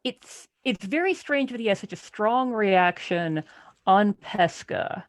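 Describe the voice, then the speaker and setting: A woman's voice: mid-range voice, lively intonation, slow, no room sound. A woman in a casual book club discussion, speaking analytically and posing a lot of questions as a panelist.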